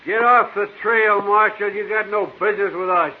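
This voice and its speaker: Actor as high-voiced sneering western outlaw boss. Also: male voice, steady tone, moderate pace, close to an everyday voice. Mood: threatening. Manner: taunting, curt. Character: menacing, sneering outlaw gang leader of the West. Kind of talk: 1950s western radio drama acting. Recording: muffled vintage radio recording, light noise.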